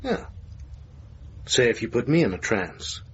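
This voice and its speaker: A man's voice: mid-range voice, sing-song, unhurried, a bit bright. A man acting a needling, sceptical theatre manager and lover in a naturalistic radio drama, with a dry, probing manner. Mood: goading. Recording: dull lo-fi radio recording, faint noise.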